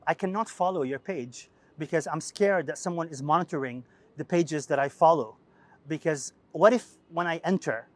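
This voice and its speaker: Hesitant middle-aged man from Syria. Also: fairly high pitch. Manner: hesitant, many fillers and restarts. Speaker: executive editor of a media outlet. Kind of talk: interview to camera.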